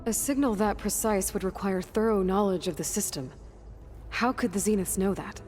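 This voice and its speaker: An actress playing a determined, inquisitive young heroine in a video game, pensive, plain-spoken and direct. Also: female voice, medium pitch, moderate pace, darkish tone, soft background music, close to an everyday voice. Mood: pensive, probing. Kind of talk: scripted video game dialogue.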